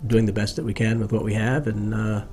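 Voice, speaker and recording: Male official, monotone, mellow tone, matter-of-fact, faint background hum. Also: on the low side, brisk, faint music behind. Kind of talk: interview answers.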